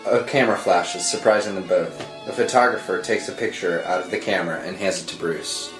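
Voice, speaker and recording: A man's voice: low-pitched, a little fast. A man narrating scripted screenplay directions at a table read. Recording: roomy echo under dramatic score music.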